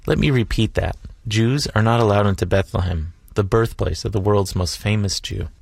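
A man's voice: fairly low pitch, normal speed, vocal fry, a little mellow, husky, no room sound. A man narrating a travel documentary in a dry, wry, reflective way. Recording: voice-over with music behind.

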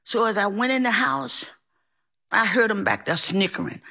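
A woman's voice: mid-range voice, moderate pace, bright, forceful tone. A woman telling a personal story in a theatrical, emphatic, folksy way, acting out what people said. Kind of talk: live personal storytelling on stage.

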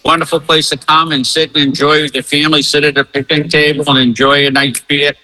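Upbeat guy plugging his event, really bright forceful voice, on the high side, emphatic. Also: flat delivery, fairly quick, poor audio. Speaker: fair organizer and spokesman. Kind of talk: enthusiastic promotional interview talk.

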